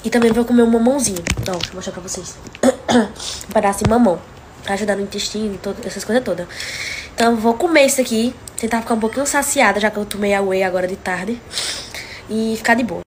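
A woman's voice: medium pitch, fast, noisy background. A young Brazilian woman in her twenties chatting casually to her followers through a phone at arm's length, sounding sick with a cold. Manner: chatty, breezy, animated when venting. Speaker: influencer. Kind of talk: casual vlog stories to followers. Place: home living room and kitchen. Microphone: phone held at arm's length.

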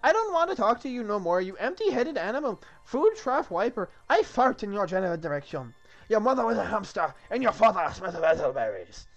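A man playing a rude castle guard in a comic script, high-pitched and swooping. He sounds haughty and mocking, flinging his words out with exaggerated contempt. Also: big pitch swings, fairly quick, a bit bright, highs cut off, soft background music, full character voice. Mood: mocking, insulting.

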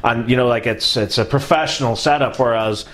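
A man's voice: medium pitch, fairly quick. A man in his thirties with a Northern Irish accent, co-hosting a casual chat, reading out viewer comments and riffing on them with lots of swearing. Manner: animated, swearing, gestures a lot while talking. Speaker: co-host. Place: small indoor room.

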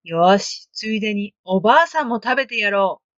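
Woman doing a scheming wolf, drawn-out gloating lines, dull lo-fi sound, dry. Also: mid-range voice, animated, fairly bright tone, no echo, close to an everyday voice. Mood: sly. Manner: slow, gloating, drawn-out menace. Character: sly hungry male wolf, scheming. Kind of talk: storybook read-aloud.

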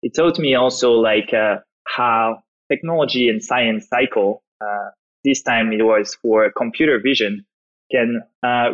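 A man's voice: on the low side, a bit bright, highs cut off. A man from France with a French accent, answering questions as a podcast guest in a loose, conversational way with many fillers. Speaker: guest, startup founder. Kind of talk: podcast interview.

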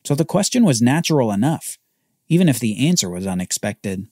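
A man hosting a podcast, telling a Bible story as scripted narration with dramatic pauses. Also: mid-range voice, very animated, mellow, dry recording, studio quality.

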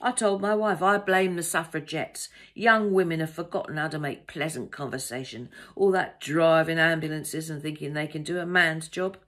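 A woman's voice: low voice, steady tone, normal speed, few pauses, fairly bright tone. A woman voicing a talkative, pompous older man in an audiobook, blustering and patronising in colloquial speech. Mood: indignant. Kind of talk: audiobook character dialogue.

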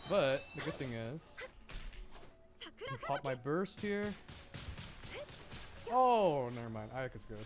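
A game streamer with a mid-pitched, very lively voice talks through play in a casual, reactive way. They mutter to themselves and address the characters, often repeating short phrases. Game music plays clearly behind the voice. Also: slow pace, pauses often. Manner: animated, reactive. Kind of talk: casual live gameplay commentary.

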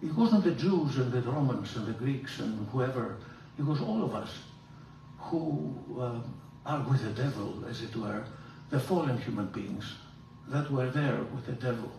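Older priest lecturing in an echoey hall. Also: male voice, a little slow, a little hiss or hum. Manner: animated, gesturing, emphatic rises in pitch. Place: large room with hard walls. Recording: roomy hall echo, from far off.

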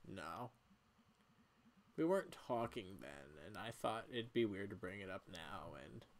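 A gentle, awkward young friend in a story game, voiced by a man. The voice is fairly low, and the delivery halting and low-key. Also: sing-song, exaggerated voice. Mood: concerned.

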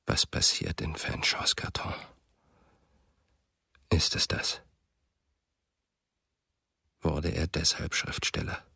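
An actor playing a brooding man recalling his past in a reflective, hushed, husky and gravelly radio drama narration. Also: very deep voice, little pitch movement, slow pace, pauses often, no room sound, clean studio sound, exaggerated voice.

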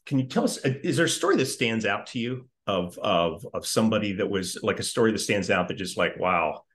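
A man's voice: lowish voice, sing-song, a little fast, a bit bright. A man in his fifties hosting a casual interview over a video call, eager and enthusiastic in his responses. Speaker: interview host. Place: home living room, on a video call.

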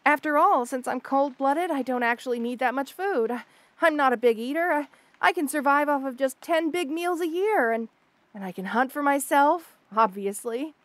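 A woman's voice: high-pitched, animated, normal speed, fairly bright tone, no room sound. A woman voicing an obsessive, jealous lamia monster girl in an intimate audio roleplay, flustered, boastful and gushing, with stammers. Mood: eager.

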